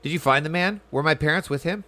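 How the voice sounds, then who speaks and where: Man putting on a hopeful, high-voiced small child. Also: fast, bright, forceful tone. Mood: hopeful, anxious. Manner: simple, eager, childlike. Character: hopeful small orphaned child looking for parents.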